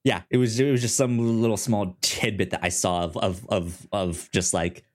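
A man co-hosting a casual podcast discussion, speaking haltingly and often repeating syllables and words in a stutter-like way. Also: lowish voice, fairly even intonation. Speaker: podcast co-host.

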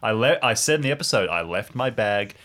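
A man's voice: on the low side, animated, brisk, fairly bright tone. A man playing in an improvised tabletop game, chatting casually and narrating his character's actions. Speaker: player in an audio drama game.

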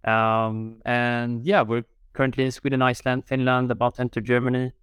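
Male co-founder, very smooth resonant voice, casual and filler-heavy. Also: medium pitch, little pitch movement, normal speed, a bit bright, dry recording, clean studio sound. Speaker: company co-founder. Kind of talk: panel self-introduction.

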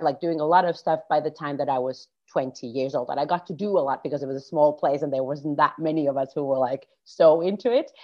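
A woman's voice: sits low, highs cut off. A woman from Finland in her thirties with a Finnish accent, a guest in a casual podcast chat who laughs often and restarts her sentences. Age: 30s. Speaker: guest, school founder.

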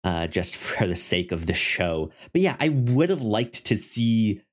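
A man's voice: lowish voice, big pitch swings, unhurried. A man co-hosting a podcast, casually discussing a TV series and often stammering over repeated syllables. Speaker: podcast co-host.

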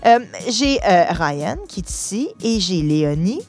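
Low-voiced Canadian French woman host. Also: big pitch swings, a little slow, darkish tone, faint music behind. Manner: friendly, chatty, restarting phrases. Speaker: host interviewing schoolchildren. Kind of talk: casual interview. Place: inside a parked truck.

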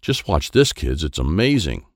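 A man's voice: very deep voice, very animated, moderate pace, dry recording, clean studio sound, heavily acted. A man voicing a proud, impatient inventor uncle in a children's storybook, showy and instructing. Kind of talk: children's storybook character dialogue.

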